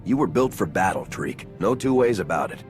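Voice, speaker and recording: A man playing a composed male squad commander with a calm, dry manner in a video game. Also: low-pitched, fairly quick, soft background music. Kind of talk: video game cutscene acting.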